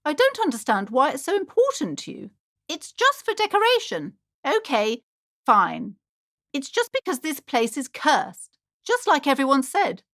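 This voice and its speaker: A superstitious woman who runs a bookshop in a video game. Her high voice swoops dramatically up and down as she frets and preaches. The delivery is theatrical and anxious, in a very dry, close recording. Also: a bit bright, dry recording, exaggerated voice. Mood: defensive. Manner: theatrical, fretful, swinging between gushing and alarm.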